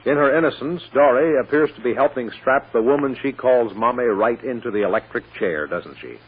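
A man's voice: mid-range voice, fairly quick, husky. A man narrating as a vintage radio drama announcer, with a theatrical, inviting delivery. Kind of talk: 1940s radio scripted narration. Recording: tinny old radio recording.

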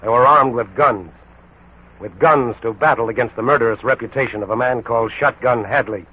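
An American man narrating a 1940s radio crime drama in a solemn, dramatic way with emphatic pauses. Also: mid-range voice, husky. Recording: tinny 1940s radio recording, music behind. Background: music.

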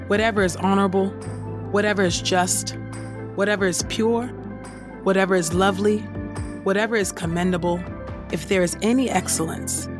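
Earnest praying woman with music behind. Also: fairly low pitch. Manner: reverent, earnest, repeatedly addressing God. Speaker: host reading scripture and leading prayer. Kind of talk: devotional scripture reading and spoken prayer.

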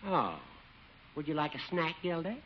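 High-voiced, fussy old judge. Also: male voice, big pitch swings, moderate pace. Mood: helpful. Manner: chuckling, finicky, needling. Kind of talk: 1950s radio sitcom acting. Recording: dull, noisy old radio recording.

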